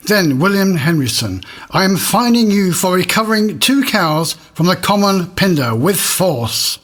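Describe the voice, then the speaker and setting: An actor playing a pompous, officious village court judge of olden times in a comic audio drama. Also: male voice, sits high, big pitch swings, a little slow, fairly bright tone, heavily acted. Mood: stern, officious.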